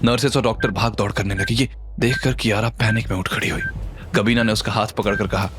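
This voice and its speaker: A man's voice: on the low side, steady tone, brisk. Male narrator of a dramatic story. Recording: music under the voice.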